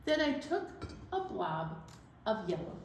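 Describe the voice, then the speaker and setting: Slow, animated woman in an echoey room. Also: medium pitch. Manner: playful, simple step-by-step explaining. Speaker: children's library story time host. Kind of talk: children's story time presentation, poem recital. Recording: roomy echo, faint background noise.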